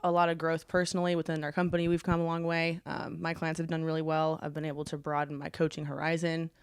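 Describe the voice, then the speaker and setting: A young woman co-hosting a fitness podcast, chatting casually, often laughing and pausing on "um". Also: deep voice, completely flat delivery, clean tone, no room sound, clean studio sound. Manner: giggly, hesitant with many ums. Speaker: podcast co-host, fitness coach. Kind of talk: casual chat.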